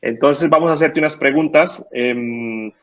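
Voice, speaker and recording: A man's voice: fairly high pitch, moderate pace. Male moderator, friendly, reads audience questions, muffled lo-fi line. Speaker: conference moderator.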